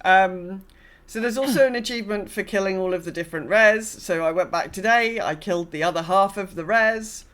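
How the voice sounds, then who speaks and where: A woman podcast co-host with a mid-range voice chats casually about gaming. Her delivery is wry and understated, with hesitant, halting phrasing. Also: mid-range voice, a bit bright.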